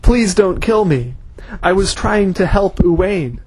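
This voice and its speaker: A man voicing a cornered old male villain who begs desperately for his life, with a husky voice. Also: high-pitched, animated, unhurried, slight background noise, voice pushed up. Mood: pleading. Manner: begging, desperate.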